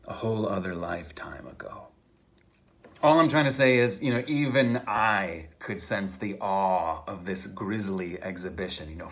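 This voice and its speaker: A man, an author, reading his novel aloud in a conversational, halting first-person style with theatrical emphasis. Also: low-pitched, a bit bright.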